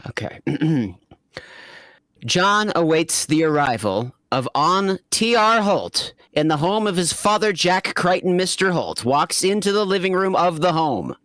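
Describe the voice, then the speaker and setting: A woman hosting and narrating a comedic amateur fan fiction reading in a giggly, halting way. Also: on the low side, expressive, a bit bright, no room sound. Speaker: narrator and host.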